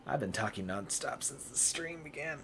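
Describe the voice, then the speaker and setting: A man live-streaming a visual novel playthrough, reading aloud haltingly and correcting his own stumbles. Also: medium pitch, lively intonation, creaky, background noise.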